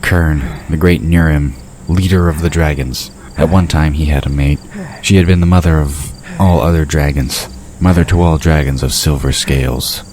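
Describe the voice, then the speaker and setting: Male narrator, extremely low voice, scripted fantasy storytelling, faint night-insect noise behind. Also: fairly even intonation, moderate pace, darkish tone.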